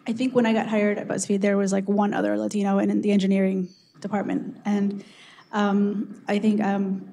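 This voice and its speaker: A woman's voice: mid-range voice, monotone, moderate pace, warm tone. A woman engineer on a discussion panel, speaking with frequent hesitations and fillers. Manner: hesitant, many ums.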